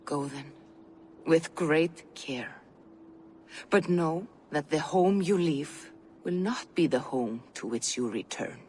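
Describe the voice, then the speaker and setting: Slow, ominous Viking seer. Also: female voice, low voice, darkish tone, slight background noise. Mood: grim, fateful. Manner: portentous, incantatory, dramatic. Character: ominous female Viking seer, fervent prophet of doom. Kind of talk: video game dialogue acting.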